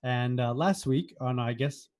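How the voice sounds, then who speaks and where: This is an American man with a soft, mellow tone that stays relaxed and unforced. He chats casually as a podcast co-host, with a wry streak and a habit of teasing. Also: medium pitch, a little slow. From United States. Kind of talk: casual chat.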